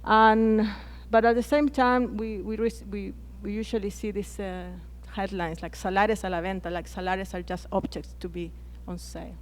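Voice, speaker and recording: A woman's voice: mid-range voice, fairly even intonation, normal speed, hardly any pauses. Chilean woman speaking hesitantly on a panel. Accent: Chilean Spanish. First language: Spanish. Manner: hesitant, frequent restarts and repeated words. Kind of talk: conference panel talk. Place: conference plenary hall.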